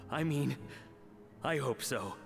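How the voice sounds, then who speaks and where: A man's voice: medium pitch, lively intonation, slow, gravelly, faint music behind. An actor playing an earnest, self-doubting chef and father in a video game, hesitant and apologetic in manner. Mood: apologetic, resolute. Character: earnest, shaken chef and father, eager to prove himself.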